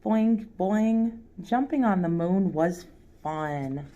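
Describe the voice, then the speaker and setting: A woman's voice: fairly low pitch, lively intonation, dark tone, muffled recording. A woman reading a children's picture book aloud as a storytime reader, addressing young listeners directly. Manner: pauses to ask young listeners questions.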